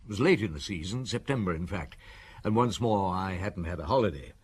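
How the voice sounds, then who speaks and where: An actor playing a seasoned, genial middle-aged police inspector in a radio drama, conversational, wry and musing. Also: male voice, sits low, expressive, fairly quick, slight background noise. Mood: reminiscing.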